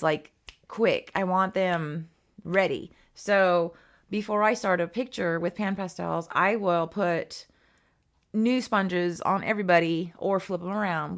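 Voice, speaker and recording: Hoarse, chatty woman with a gravelly voice. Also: mid-range voice, fairly bright tone. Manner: chatty, rambling, many ums and restarts. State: hoarse, losing her voice. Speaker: art teacher. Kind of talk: casual art tutorial.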